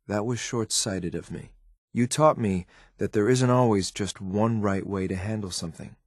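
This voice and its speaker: Low, stern synth operative. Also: male voice, no echo, clean studio sound. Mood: humble, sincere. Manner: clipped, formal, restrained military delivery. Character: stern, loyal male synth operative, cold and dutiful. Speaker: companion character in a video game. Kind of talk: scripted game voice lines.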